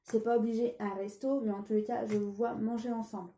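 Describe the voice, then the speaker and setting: A woman with a cold reads tarot cards for her viewers in a casual, hesitant way, pausing on fillers and restarting her sentences. Her voice is fairly high yet mellow and soft. It rings in an echoey room over a faint hiss. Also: level tone. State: has a cold. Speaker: tarot card reader. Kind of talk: casual tarot reading vlog.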